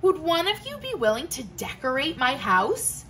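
A gentle, polite little hermit crab from a storybook, as a woman reads him aloud, asking kindly and earnestly. The voice is bright and high, a little lower than her narration. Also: huge pitch swings, normal speed, slight background noise, full character voice.

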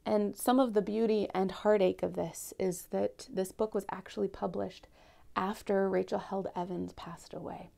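A young woman giving a gentle Lent reflection at home and reading a picture book aloud, speaking softly and often near a whisper into a lapel mic. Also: lowish voice, creaky. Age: young adult. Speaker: church speaker. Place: home living room, indoors.

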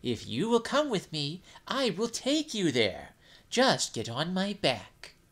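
A man voicing a jellyfish servant who coaxes in a slow, persuasive way. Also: high-pitched, wildly swooping pitch, a bit bright, pitched above the performer's own voice, heavily acted. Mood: coaxing. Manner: coaxing persuasive. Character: smooth-talking male jellyfish servant.

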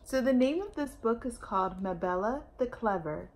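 A woman's voice: on the high side, expressive, normal speed. A young woman reading a children's story aloud in a careful, teacherly way, with asides to young listeners. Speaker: storytime reader. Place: indoor room at home.

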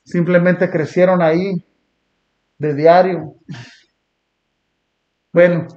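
A man from Long Beach, California, hosting a podcast and talking in an earnest, reflective way with blunt street slang. He sits on a small dark indoor studio set. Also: high-pitched, flat delivery, slow pace. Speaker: podcast host. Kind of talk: casual reaction chat. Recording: muffled lo-fi sound.

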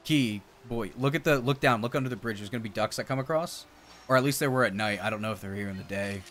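A man's voice: medium pitch, fast, fairly bright tone, a little hiss or hum. A man in his thirties giving casual, playful gameplay commentary as a streamer, full of exclamations and silly nicknames. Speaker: game streamer, co-host. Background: game music.

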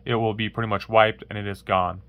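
Flat-voiced man with a rambling how-to delivery. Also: fairly low pitch, fairly quick, dry recording, narrow, tinny audio. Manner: rambling, many fillers and restarts. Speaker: tech tutorial host. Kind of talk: casual how-to walkthrough. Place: indoors at a wooden table, voice-over of hands-only phone demo.

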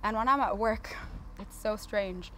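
A woman's voice: mid-range voice, a little slow, fairly bright tone, soft background music, rough recording. A woman in her twenties chatting casually and playfully as a guest on a TV talk show, poking fun at herself. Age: 20s. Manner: playful, self-deprecating. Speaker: guest, air traffic controller. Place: TV studio with sofas.

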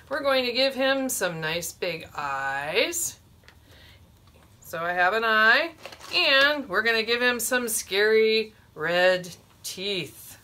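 A woman's voice: mid-range voice, sharp tone. A woman in her forties hosting a children's storytime, explaining a simple craft step by step from a small room. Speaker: librarian, storytime host.

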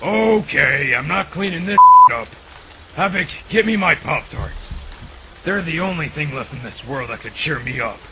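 A man playing a brash, hot-tempered, miniskirt-obsessed officer in a comedic anime parody dub, gravelly, swaggering and given to melodramatic, sweary exclamations. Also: on the high side, animated, moderate pace, heavy background noise, close to an everyday voice. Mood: irritated, sulky. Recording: music behind the voice.

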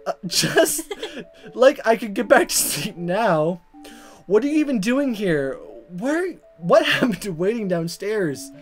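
A woman's voice: mid-range voice, very animated, slow, faint music behind. A woman hosting a let's play, reading dialogue aloud dramatically and commenting in a playful, exaggerated way. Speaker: gaming video host.

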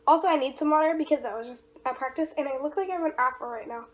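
High-voiced teenage girl vlogging. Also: rapid-fire. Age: 13, young teenager. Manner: rambling, informal. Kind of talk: casual chatty vlog. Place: rooms at home, indoors. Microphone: camera at arm's length or propped nearby. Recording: noisy handheld home recording.

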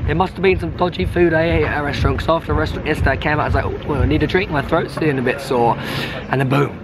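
A young man, hoarse from losing his voice, vlogging excitedly to a handheld camera outdoors at a busy event. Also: on the high side, very fast, background noise. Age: young adult. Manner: excitable, chatty, jokey. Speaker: football vlogger. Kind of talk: casual vlog to camera. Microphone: handheld camera at arm's length.

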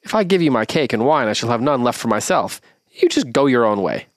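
A man's voice: mid-range voice, sing-song, brisk, no room sound, clean studio sound, natural voice. A man voicing a selfish eldest son who curtly refuses a beggar.

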